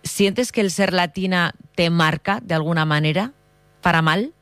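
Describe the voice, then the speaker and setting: Radio host, conversational interviewer, mid-pitched, dry close recording, questions formed with some repeated words. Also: moderate pace, a bit bright, smooth, dry recording.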